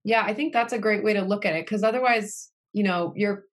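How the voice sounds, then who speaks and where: A woman of middle pitch hosts a relaxed tech conversation. She talks in a friendly, easygoing way, with "um" and "you know" sprinkled in. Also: a little fast. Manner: friendly, fillers, frequent small laughs. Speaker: podcast host. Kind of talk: casual interview chat.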